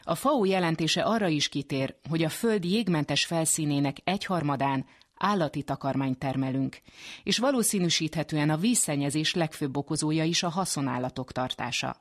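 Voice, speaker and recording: A woman presenting a radio programme in scripted narration. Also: sits low. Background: music behind the voice.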